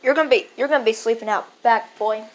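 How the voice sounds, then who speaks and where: A young performer playing a grumpy, tired father who scolds his son in a homemade skit, gruff and repeating his orders. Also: medium pitch, fast, natural voice.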